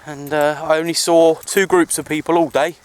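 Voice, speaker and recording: Man, smooth clear voice, slightly higher pitch, casual rambling vlog chat, outdoors. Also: level tone, moderate pace. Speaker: amateur CB radio hobbyist vlogger. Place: outdoors on a hill in the countryside.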